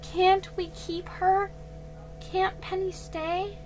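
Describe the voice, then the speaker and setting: A woman's voice: level tone, extremely slow, exaggerated voice. A woman voicing a spirited, headstrong young girl who pleads with her father, in a children's storybook reading. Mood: pleading. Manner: pleading, high and eager. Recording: faint music behind.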